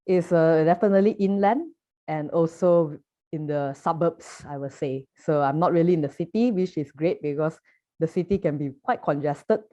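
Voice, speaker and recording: A woman's voice: low voice, moderate pace, warm tone. A young woman from Malaysia with a Malaysian accent, chatting as an interview guest with many fillers and restarts. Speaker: poet and artist guest. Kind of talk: casual interview answers. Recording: muffled lo-fi audio.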